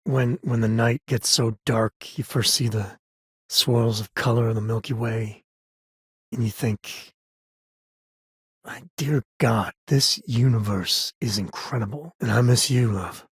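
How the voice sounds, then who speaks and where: An actor playing an easygoing, middle-aged space cowboy and devoted father in a video game, with a husky, rough-edged voice and folksy, dryly humorous phrasing. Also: mid-range voice, unhurried, dry recording. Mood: wistful. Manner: folksy, relaxed phrasing with dry humour. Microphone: close dry studio mic.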